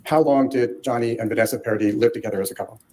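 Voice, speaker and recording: A man's voice: medium pitch, very fast, mellow, poor audio. A man working as an attorney, questioning a witness in court in a formal, direct way.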